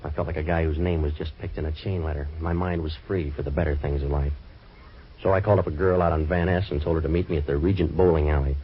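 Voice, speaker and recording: A hard-boiled detective who fires off deadpan wisecracks in a very low voice and a rapid delivery. It has the dull, narrow sound of an old radio broadcast with faint hiss. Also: male voice. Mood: narrating. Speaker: private detective and narrator. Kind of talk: 1940s radio acting.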